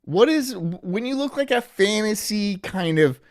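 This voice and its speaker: A young man from Florida streaming live, rambling from one joke to the next in a deadpan way while his pitch swings widely. The sound is close and dry, with no room around it. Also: a little slow, no room sound. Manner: rambling, joking, deadpan absurd asides. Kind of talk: casual live-stream chat reacting to a video.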